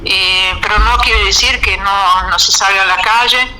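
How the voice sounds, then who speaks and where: A woman talks on a radio show as a guest, thinking aloud with frequent pauses and restarts. Her tone is very bright and clear, and her pitch sits in a middling range. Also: fairly even intonation, a little hiss or hum, rough recording. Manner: measured, hesitant with many fillers and restarts. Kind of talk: radio interview, reflective. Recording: radio studio.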